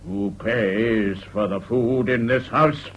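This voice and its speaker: An actor playing a domineering, irascible middle-aged English father in a radio drama, gruff and hectoring. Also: mid-range voice, slow pace, a bit bright. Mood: angry, bullying. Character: domineering, irascible middle-class father, bullying his young son. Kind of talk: 1970s BBC radio drama acting. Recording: dull, noisy old radio recording.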